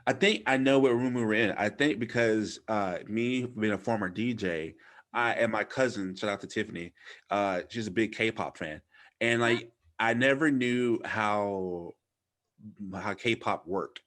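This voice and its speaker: A man in his thirties with a fairly low voice hosts a podcast. He chats informally, using slang and plenty of enthusiastic praise. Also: unhurried, a bit bright. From Louisiana, United States. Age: 30s. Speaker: podcast host, former DJ. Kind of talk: casual interview chat.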